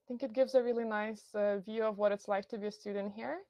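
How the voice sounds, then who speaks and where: A woman's voice: medium pitch, fairly even intonation, fast, darkish tone, no echo. A young woman from Lithuania with a Lithuanian accent, giving a university recruitment webinar in a friendly, informative way with many fillers. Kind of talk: webinar presentation. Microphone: computer mic on a video call.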